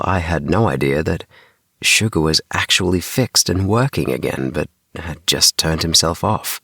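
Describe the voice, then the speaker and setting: A man's voice: extremely low pitch, creaky, sharp tone, no room sound. A man narrating a children's sleep story as an audiobook, recorded close up in a small booth. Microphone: close mic in DIY recording booth.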